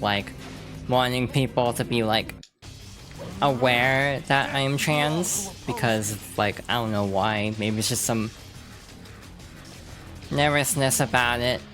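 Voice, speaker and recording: A woman streamer rambling through casual let's-play commentary, with lots of fillers and restarts. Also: a bass voice. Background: game music.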